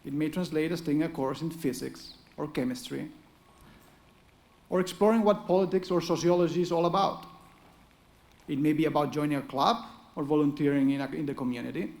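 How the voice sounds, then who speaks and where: A man's voice: a little high, fairly quick, creaky, a little mellow. A middle-aged man with a Spanish accent, an economics professor delivering an earnest, encouraging ceremonial speech with touches of dry humor at a podium microphone. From Spain.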